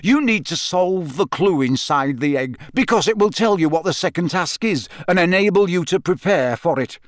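A man's voice: high-pitched, animated, moderate pace, no echo, studio quality, voice pushed up. A man voicing a jovial, effusive middle-aged official hosting a contest in an audiobook. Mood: jovial, brisk. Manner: effusive, announcing.

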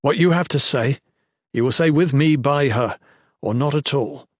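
A man's voice: mid-range voice, normal speed, no echo, studio quality, pitched above the performer's own voice, lightly acted. A man voicing a loyal, noble young male guardian who speaks firmly and formally in a fantasy storybook. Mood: firm refusal. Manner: firm, flat, formal.

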